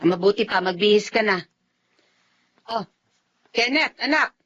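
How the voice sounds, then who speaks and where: A woman's voice: medium pitch, lively intonation, a little slow. A woman with a Filipino accent playing a protective, anxious wife and mother in a radio drama. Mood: scolding, worried. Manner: anxious, gently scolding. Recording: dull, noisy radio drama recording.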